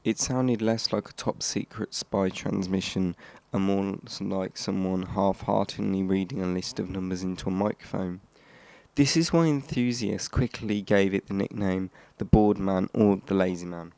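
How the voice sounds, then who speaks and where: A man's voice: fairly low pitch, creaky, mellow, dry recording. A man hosting a hobby explainer, reading scripted narration and now and then stumbling over his words. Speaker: host of a radio hobby channel.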